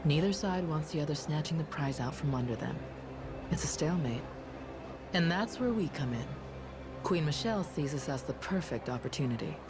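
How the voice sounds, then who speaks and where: A woman's voice: low-pitched, lively intonation, moderate pace, over music. An actress voicing a tough, self-assured young woman bodyguard in a video game cutscene, casual and slangy. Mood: persuasive, sly.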